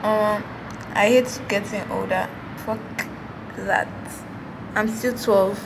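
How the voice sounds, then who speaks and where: A woman's voice: mid-range voice, steady tone, slow, creaky, background noise. A young woman vlogging from a hotel, playful and laughing, swearing casually while she talks to the camera. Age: young adult. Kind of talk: casual vlog chat. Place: hotel room, then night balcony. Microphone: handheld camera held close.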